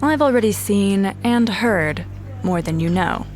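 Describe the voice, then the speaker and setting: A sardonic, no-nonsense woman detective, played by an actress in a noir audio drama. She is observant and deliberate, with a deadpan delivery at a middling pitch. Music plays underneath. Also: natural voice. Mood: cool, probing. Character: sharp-eyed, dry, no-nonsense young female detective. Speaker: detective and narrator. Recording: music behind the voice.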